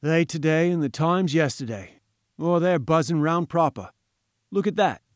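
A man voicing a huge, rough, suspicious gatekeeper in a storybook, talking in colloquial, folksy turns of phrase. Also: on the high side, animated, normal speed, no room sound, studio quality, pitched above the performer's own voice, lightly acted. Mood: gruff, informative.

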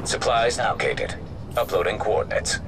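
A man voicing a calm, emotionless male AI system that gives clipped warning announcements through a processed digital filter. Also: on the low side, moderate pace. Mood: alerting. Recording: game audio with loud background noise.

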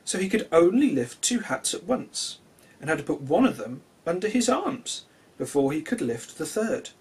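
A man reads to young children with plenty of expression. His voice sits fairly high and moves up and down freely. It has a husky edge, and his delivery is simple and gentle. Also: normal speed, poor audio. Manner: animated, simple and gentle address to young listeners. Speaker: children's storyteller and host. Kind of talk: expressive read-aloud children's storytelling.

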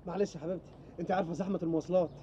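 A man's voice: steady tone, highs cut off, background noise, lightly acted. A man playing a gushing starstruck young cop. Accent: Egyptian Arabic. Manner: gushing, fast, breaks into high laughs. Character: excitable young police officer fan, man. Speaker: comedy film character.

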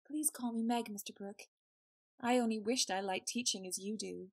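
An actress playing a gentle, modest young governess in an audio drama, earnest and soft. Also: female voice, on the high side, normal speed, breathy edge, no echo, clean studio sound.

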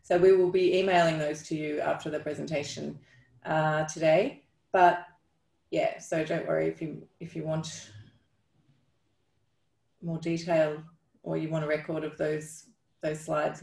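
Creaky middle-aged woman in an echoey room. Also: sits low, flat delivery, measured pace, pauses often, a little mellow. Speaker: clinician leading a question session. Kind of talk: informal question and answer. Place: room with brick wall, indoors. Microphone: video call on a computer. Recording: roomy, echoey video-call sound.

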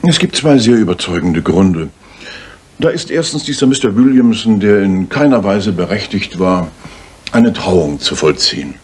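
An actor playing a shrewd, self-assured gentleman detective, formal and authoritative, in a radio play. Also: low-pitched, lively intonation, normal speed, husky. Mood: stern. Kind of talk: German radio play acting.

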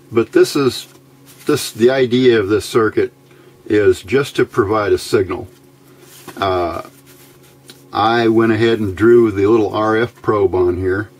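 Slow, low-voiced man explaining electronics. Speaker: electronics hobbyist presenter. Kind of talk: casual technical tutorial, explaining a circuit. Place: indoors at a workbench.

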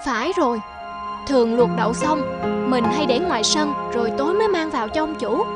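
A woman voicing an honest, wronged young maidservant in an animated fairy tale, plaintive and aggrieved. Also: high-pitched, fairly quick, over loud music. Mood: aggrieved, determined.

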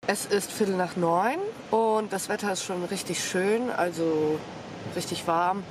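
A woman's voice: lowish voice, fairly even intonation, a little slow. Young woman vlogging outdoors, a bit sniffly. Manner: enthusiastic, casual slang. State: has a slight cold. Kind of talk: casual travel vlog chat. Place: outdoors on the coast.

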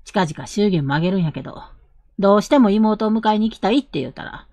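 A woman playing an earnest, protective young man, a rough-spoken elder brother, in a Japanese period audio drama. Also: medium pitch, expressive, dry recording, natural voice. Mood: earnest, gentle. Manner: earnest, measured, gently insistent.

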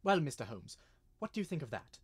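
A man playing a grave gentleman in a radio drama, measured and solemn. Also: on the high side, very animated, breathy edge. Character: grave gentleman recounting a family legend to a detective.

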